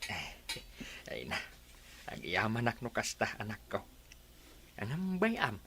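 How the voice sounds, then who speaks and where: A humble old father speaking softly to his daughter, as a man plays him. His pitch moves a lot, with a faint hiss behind the voice. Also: wildly swooping pitch, slow, full character voice. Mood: tender. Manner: soft, apologetic.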